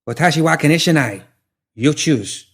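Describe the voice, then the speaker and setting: A man voicing a small, slender woman killer in an audiobook story, deadpan, incurious and coldly menacing. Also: on the high side, normal speed, slightly rough, pitched above the performer's own voice, full character voice. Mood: cold menace.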